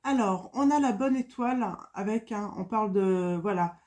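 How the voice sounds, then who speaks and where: A woman's voice: mid-range voice, moderate pace, mellow. A woman with a cold, giving a casual spoken tarot reading with many fillers and repetitions. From France. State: has a cold. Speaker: tarot card reader.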